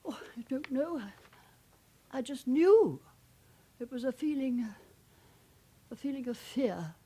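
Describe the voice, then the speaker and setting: An elderly, well-bred widow in a radio drama. Her voice is high and moves expressively, polite but anxious, with hesitant, wistful phrasing. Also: big pitch swings, takes it slowly, warm tone, breathy edge, heavily acted. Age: older. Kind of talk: naturalistic British radio drama acting.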